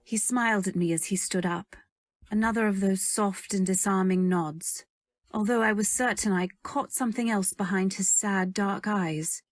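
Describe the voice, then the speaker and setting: A modest young woman, played by an actress tells her story in an old-time radio play. She is demure, earnest and a little wistful, at a middling pitch in a dry, close recording. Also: moderate pace, dry recording, close to an everyday voice. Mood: wistful narration. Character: modest, earnest young female companion, quietly candid. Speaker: narrator and heroine. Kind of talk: first-person narration in old-time radio drama.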